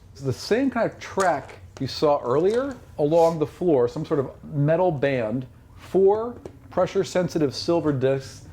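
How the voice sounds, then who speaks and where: A man's voice: a little high, dark tone, a little hiss or hum. A man running a tabletop fantasy game as game master, narrating descriptively and voicing sound effects.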